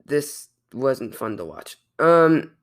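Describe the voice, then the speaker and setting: A man's voice: medium pitch, very animated, slow pace, fairly bright tone. The kind of teen guy heard on a homemade movie podcast, loosely joking through a plot. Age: teens. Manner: jokey, loose. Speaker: podcast co-host. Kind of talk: casual film recap chat.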